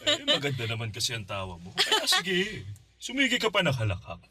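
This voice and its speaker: A man's voice: mid-range voice, huge pitch swings, fairly quick, a crowd in the background. A man playing a boastful, manipulative middle-aged Filipino father in a radio drama, wheedling and self-righteous as he scolds. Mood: teasing.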